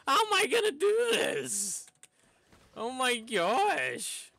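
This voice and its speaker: A man's voice: very high voice, huge pitch swings, slow pace, vocal fry, bright, forceful tone. A man streaming a game with casual live commentary, exclaiming when things go wrong. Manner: reads game lines aloud, exclaims at setbacks.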